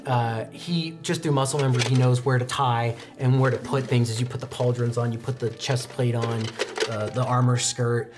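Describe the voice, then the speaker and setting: A man's voice: medium pitch, steady tone, normal speed, background noise. A young man narrating a tabletop roleplaying game as game master, in descriptive, conversational storytelling. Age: young adult. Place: indoor studio set, seated at a desk. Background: background music.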